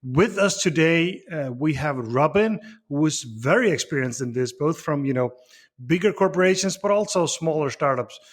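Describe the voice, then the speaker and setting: A man's voice: on the high side, expressive, moderate pace. A man hosting a startup interview show, introducing the topic and asking questions in an upbeat, presenter-like way. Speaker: show host. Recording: faint music underneath.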